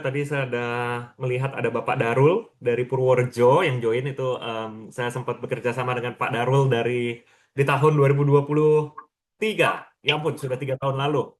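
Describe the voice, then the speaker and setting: A man's voice: mid-range voice, a bit bright. A man, presenting informally to an online audience and often checking in with listeners. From Lombok, Indonesia. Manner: conversational, checks in with listeners using questions and tags. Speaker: webinar speaker, education practitioner.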